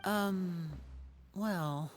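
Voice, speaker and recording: A woman's voice: fairly low pitch, extremely slow. A woman voicing a polite, charming young male magician in a video game, courteous and theatrical in delivery. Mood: hesitant. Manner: courteous, theatrical, well-spoken. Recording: music behind the voice.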